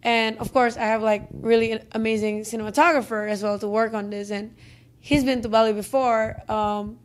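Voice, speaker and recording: Young woman, Indonesian accent, fairly high pitch, chatty with fillers, handheld mic. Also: little pitch movement, moderate pace. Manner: chatty, many fillers and restarts. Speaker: film director. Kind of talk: interview answers. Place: office conference room, indoors.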